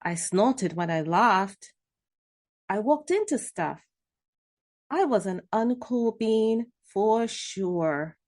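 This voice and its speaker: Woman, children's read-aloud narrator, unhurried pace, mid-range pitch, expressive delivery, dramatic pauses. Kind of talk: scripted children's storybook narration in first person.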